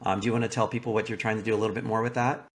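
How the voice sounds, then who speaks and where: A man's voice: on the low side, level tone, very fast. An American man from Texas hosting a podcast in a casual, chatty way and addressing his audience directly. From Texas, United States. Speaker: podcast host. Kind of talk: casual conversational interview.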